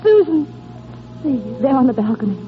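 A woman's voice: sits high, big pitch swings, normal speed. An actress playing a tender, anguished godmother in a 1930s radio drama, speaking urgently and repeating her words. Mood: urgent tenderness. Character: tender anguished godmother comforting a beloved child. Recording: tinny noisy old radio broadcast.

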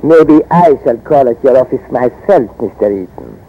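An actor playing a haughty, menacing North African finance minister in old-time radio drama, formal and quietly threatening in manner. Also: male voice, medium pitch, lively intonation, moderate pace. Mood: veiled menace. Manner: formal, smooth-worded, threatening. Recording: dull vintage radio recording.

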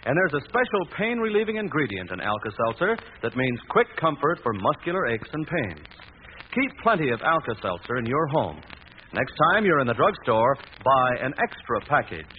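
A man's voice: mid-range voice, big pitch swings, moderate pace. An American man announcing a scripted 1940s radio commercial. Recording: tinny, hissy old radio broadcast.

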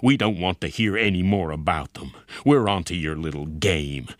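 A man voicing a gruff, hot-tempered army general who barks blunt orders in an audiobook story. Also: low voice, moderate pace, no echo, clean studio sound, natural voice. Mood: angry, threatening. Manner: barking, blunt, commanding.

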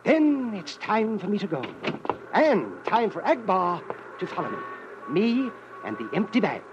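An actor playing a fussy, anxious, spry little client in a classic radio drama, formal and deferential in manner. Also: male voice, sits high, big pitch swings, measured pace. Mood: hurried. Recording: dull old radio recording, heavy car noise.

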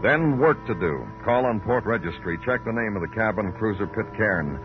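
An actor playing a weary, brooding American police detective in a dramatic old radio crime drama, speaking in clipped, poetic phrases. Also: male voice, fairly low pitch, animated, moderate pace, close to an everyday voice. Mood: weary, descriptive. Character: weary, brooding male police detective narrating his case. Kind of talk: 1940s-50s radio crime drama acting. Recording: dull old radio recording, loud music.

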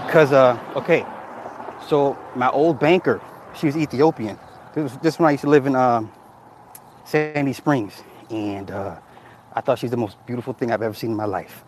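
A man talks casually on a livestream, reading comments and telling stories. He speaks at an average pitch, swears freely, and laughs often. A faint hum of background noise sits behind his voice. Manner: loose, profane storytelling, laughing at chat comments. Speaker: livestream host reading chat.

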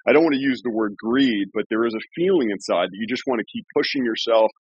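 A man's voice: medium pitch, fast, breathy edge, dry recording. A man in his forties hosting a casual interview, chatty and rambling with restarts and laughs. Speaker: podcast host. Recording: dull lo-fi sound.

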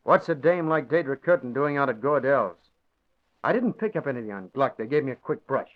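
A man's voice: mid-range voice, lively intonation, fairly quick, close to an everyday voice. Man playing a slangy streetwise reporter. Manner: breezy slang. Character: wisecracking male newspaper reporter, streetwise. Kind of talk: 1940s radio acting. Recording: muffled old radio broadcast.

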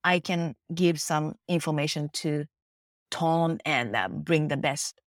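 A woman's voice: low voice, takes it slowly, dry recording. A middle-aged woman with a Japanese accent tells her story in an earnest, reflective way. She often slips into quoted lines. The recording is dry and close. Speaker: face yoga teacher. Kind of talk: interview answers.

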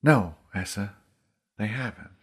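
A man's voice: fairly low pitch, a little slow, breathy edge. A man voicing an earnest, weary young fantasy hero with an urgent manner in an audiobook. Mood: grave.

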